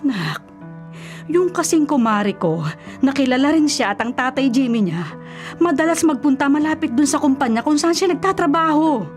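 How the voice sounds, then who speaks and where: Fussing, animated Filipino mother. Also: sits high, normal speed, faint music behind. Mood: reproachful, worried. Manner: fussing, nagging, expressive. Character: older worried, caring mother of a grown son. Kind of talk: Filipino radio drama acting.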